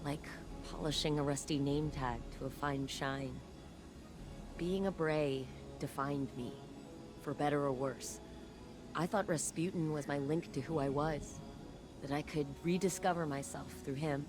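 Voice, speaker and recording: An actress playing a grieving but resolute sci-fi heroine, speaking in a reflective, measured, earnest way in a video game. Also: female voice, low-pitched, steady tone, over music. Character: grieving, resolute adult woman, sci-fi heir of a troubled family. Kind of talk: scripted dramatic game dialogue and narration.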